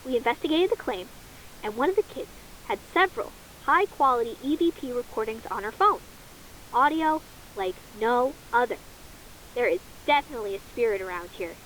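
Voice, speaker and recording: A woman voicing an eager field agent who reports in a hurried way over a radio. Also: a little high, expressive, fairly bright tone, a little hiss or hum, rough recording.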